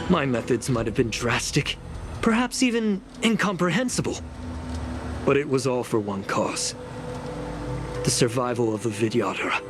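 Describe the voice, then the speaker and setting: A man acts out a bitter old clan elder from a fantasy video game. He speaks in a formal, measured way with sardonic bite at a middling pitch, and music plays clearly behind him. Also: lightly acted. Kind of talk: scripted video game dialogue.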